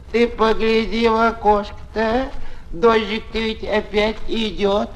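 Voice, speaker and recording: Figure: high-pitched flustered tsar. Style: fairy tale. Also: male voice, steady tone, takes it slowly, lo-fi sound. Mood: puzzled, amused. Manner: echoes others, repeats words in exclamations. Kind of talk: theatrical children's audio tale. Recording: faint hiss of 1970s flexi disc.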